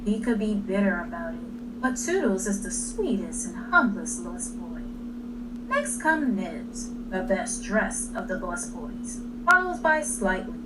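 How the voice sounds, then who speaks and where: A woman reading a children's story aloud in an expressive, deliberate way, leaning on key words. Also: mid-range voice. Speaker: storybook reader. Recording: distant mic with clear background noise.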